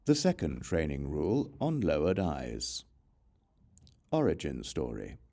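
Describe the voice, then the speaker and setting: A man narrating a religious text as an audiobook in a measured, formal, deliberate manner. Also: rumbling low, wildly swooping pitch, creaky, warm tone.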